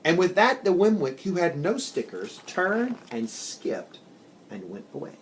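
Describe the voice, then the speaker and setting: A man reads a children's tale with expressive, deliberate phrasing. His voice sits a little high and swings widely in pitch. Faint noise runs behind the recording. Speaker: story reader. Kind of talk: scripted children's storybook narration.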